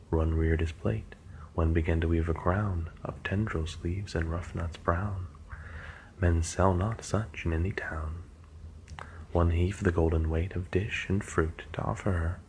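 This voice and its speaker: A man giving a soft ASMR poetry reading, his measured recitation sometimes drifting into whispers. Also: deep voice, a little hiss or hum, poor audio.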